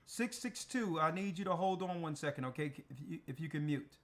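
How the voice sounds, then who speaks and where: A man with a notably high voice hosts a church livestream as an elder. He takes callers one at a time, asks short questions and gives brisk directions. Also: lively intonation. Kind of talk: livestream call-in talk.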